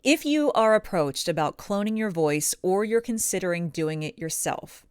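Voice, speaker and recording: Female coach-presenter, lowish smooth voice, emphatic key words, instructional delivery to viewers, very dry recording. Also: animated, normal speed, no room sound. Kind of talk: instructional advice talk to camera.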